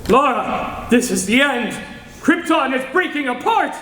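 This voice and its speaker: A man's voice: very high-pitched, lively intonation, a little slow. A man acting a grave, passionate young alien scientist foretelling doom in solemn, declamatory old-time radio drama style. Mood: alarmed. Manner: solemn, declamatory, melodramatic delivery. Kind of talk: 1940s radio serial acting recreated on stage. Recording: echoey hall recording with background noise.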